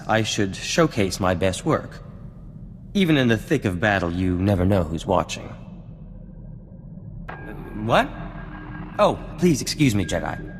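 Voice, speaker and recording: An actor playing a vain, refined scientist-inventor in a video game cutscene, speaking in a formal, theatrical and florid way. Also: male voice, lowish voice, a little hiss or hum. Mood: earnest, grateful. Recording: long reverb, music underneath.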